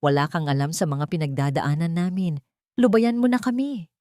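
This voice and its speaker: A woman's voice: on the low side, normal speed, no room sound, studio quality, natural voice. A woman voicing a guarded, frightened woman who turns defensive and desperate in a dramatic story. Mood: angry, desperate. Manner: stammering, then sharp and desperate. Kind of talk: dramatic audiobook character voice.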